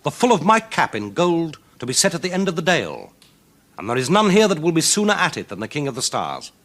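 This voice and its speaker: A man's voice: a little high, huge pitch swings, a little fast, fairly bright tone, pitched above the performer's own voice, heavily acted. A man voicing a bold, brash young hero of a storybook folk tale, declaiming his commands. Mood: bold, challenging. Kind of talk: children's storybook reading.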